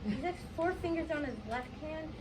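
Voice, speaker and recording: Very high young girl, reading aloud. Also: moderate pace. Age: child, fourth grade. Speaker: student narrator. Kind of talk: scripted story narration read aloud. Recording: distant microphone, clear background noise.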